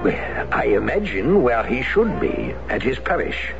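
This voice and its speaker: An actor playing a guarded French former Resistance man who speaks in measured, solemn warnings, in a radio drama. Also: mid-range voice, big pitch swings, vocal fry. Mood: grave. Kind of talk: 1970s radio drama acting. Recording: dull lo-fi radio broadcast with music.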